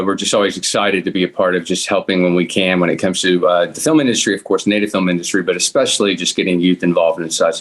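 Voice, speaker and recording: A man speaks in a deep, low register. He gives informal guest remarks on a video call, hesitating and circling a little through his sentences.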